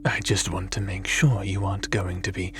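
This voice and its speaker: A man playing a seasoned, caring and wry adventurer in an ASMR audio roleplay, speaking softly in a measured, gently theatrical way. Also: deep voice, steady tone, lightly acted. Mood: gentle, attentive. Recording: music under the voice.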